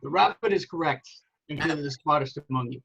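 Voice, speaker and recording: A man's voice: a little high, fast talker, creaky, a bit bright, breathy edge, muffled recording, full character voice. A man playing a noble, condescending warrior god with pompous, formal speech in an amateur film table read. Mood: pompous, courteous.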